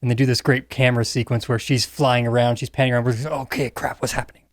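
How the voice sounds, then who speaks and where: Male podcast guest-chair voice, average pitch, casual film talk, dry studio-like closeness. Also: flat delivery, quick pace, no room sound. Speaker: podcast panelist. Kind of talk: casual chat about movies.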